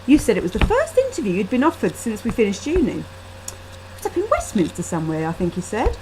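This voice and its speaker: A woman's voice: medium pitch, wildly swooping pitch, brisk. A woman voicing an earnest, concerned young female friend in a short story. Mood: insistent. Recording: faintly noisy laptop webcam microphone.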